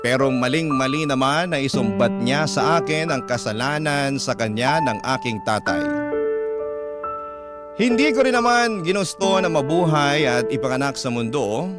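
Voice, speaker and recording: A Filipino man narrates a heartfelt letter for a radio drama. His mid-pitched voice is measured and solemn, and prominent piano music plays loudly beneath it. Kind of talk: dramatic scripted first-person narration. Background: loud piano music.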